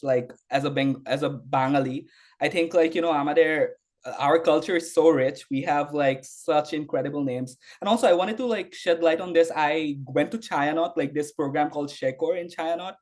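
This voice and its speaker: Young man from Bangladesh, slightly high voice, rambling, lots of "like"s and restarts. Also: normal speed. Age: young adult. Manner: rambling, heavy use of "like", frequent restarts. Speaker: interview guest, university student. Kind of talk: casual interview answers.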